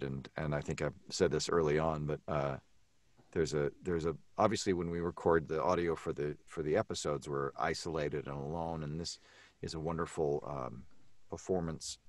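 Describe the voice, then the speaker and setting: A man talking casually in a group chat, halting at times, with dry self-deprecating humour. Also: a bass voice, no echo. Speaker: voice actor on a horror podcast.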